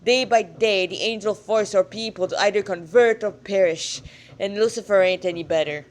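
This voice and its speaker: A woman voicing a gruff, proud skeletal male god in a story she reads aloud, trying an Irish accent and slipping in and out of it. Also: medium pitch, moderate pace, slight background noise, close to an everyday voice. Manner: attempted Irish accent, wobbly and inconsistent.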